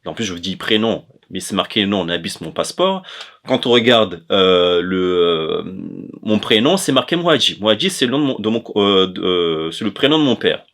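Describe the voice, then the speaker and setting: Low-voiced, halting man explaining. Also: expressive, a bit bright. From Comoros. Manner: hesitant, halting, often correcting himself. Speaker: presenter of an educational chronicle on language. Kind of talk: informal explanatory lecture.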